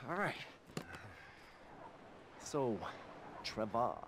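An actor playing a nervous, over-friendly undercover cop posing as a drug buyer in a video game, sounding eager and stilted. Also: male voice, mid-range voice, very animated, extremely slow, noisy background. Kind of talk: video game cutscene acting.

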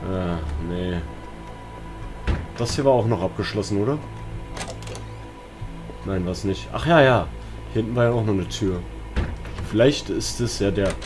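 A man's voice: on the low side, a little slow, long pauses. A man with a German accent giving casual, jumpy commentary on a horror game, often talking to himself and exclaiming. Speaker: let's player. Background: game music and sounds.

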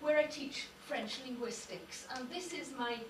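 A middle-aged woman with a Welsh accent, a native Welsh speaker, giving a measured public lecture as a university lecturer. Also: sits high, recorded from a distance, noisy background. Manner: measured, lists names with careful emphasis. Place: darkened lecture hall. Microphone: far room recording. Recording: echoey hall recorded from afar.

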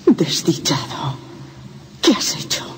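Reproachful female vampire, radio drama, sharp bright voice, cavernous reverb, theatrical. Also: takes it slowly. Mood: reproachful, haunting. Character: reproachful, seductive ghostly vampire woman. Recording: long cavernous reverb, faint noise.

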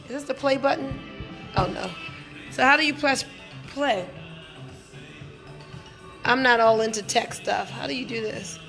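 A woman's voice: a little high, a little slow, long pauses, over music. A middle-aged woman chatting casually and enthusiastically to live viewers on a handheld phone in an indoor gym. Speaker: livestream host, wellness business promoter. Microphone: handheld phone at arm's length.

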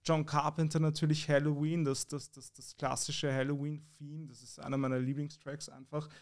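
A man, a beat producer talking in a relaxed, rambling way and chuckling now and then, recorded on a boom-arm podcast mic in a small studio room. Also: normal speed. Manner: reflective, rambling, chuckles often. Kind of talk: casual podcast interview. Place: small studio room with posters, seated at table.

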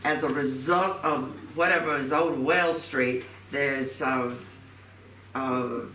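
A man speaking as a council member at a public meeting, halting and repeating himself as he searches for words. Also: mid-range voice, fairly even intonation, pushed and bright. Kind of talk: informal public meeting discussion. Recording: echoey room, distant mic, faint noise.

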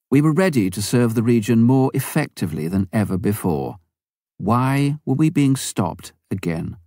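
Deep-voiced man reading a memoir. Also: warm tone, dry recording, studio quality. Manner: measured, earnest reading. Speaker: audiobook reader. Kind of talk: first-person memoir narration.

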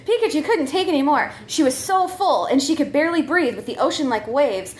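A woman's voice: high-pitched, expressive, brisk. A woman reading a comic story aloud as a performer, giggly and prone to breaking up as she goes. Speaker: comedy troupe member reading a story.

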